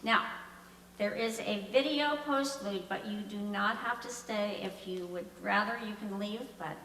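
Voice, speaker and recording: A woman gives congregational announcements in a bright, forward voice. She speaks slowly, and the sound is distant from the mic with a long hall reverb. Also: mid-range voice. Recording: echoey hall, distant mic, background noise.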